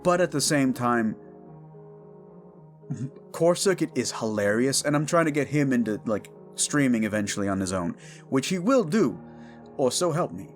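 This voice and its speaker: A man's voice: medium pitch, lively intonation, moderate pace, long pauses, soft background music. A man in his thirties chatting informally to his listeners as the host of an audio drama channel, in a rambling, unscripted way. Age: 30s. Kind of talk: casual rambling outro to listeners.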